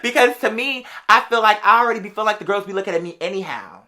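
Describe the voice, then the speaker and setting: Young american vlogger dishing stories, super quick, bright voice, sassy and slangy. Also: medium pitch. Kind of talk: casual storytelling to viewers.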